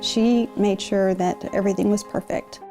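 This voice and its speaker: A woman's voice: medium pitch, fairly even intonation, normal speed, over music. A woman speaking personally in an interview as a bereaved relative.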